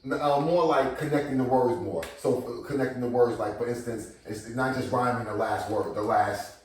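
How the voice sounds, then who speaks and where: A man's voice: mid-range voice, normal speed, slight background noise. Man, battle rap veteran, talky, repeats himself, roomy distant sound. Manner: animated, repeats words, quotes himself in conversation. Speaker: battle rap league figure being interviewed. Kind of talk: casual interview chat. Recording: echoey room, voice picked up from a distance.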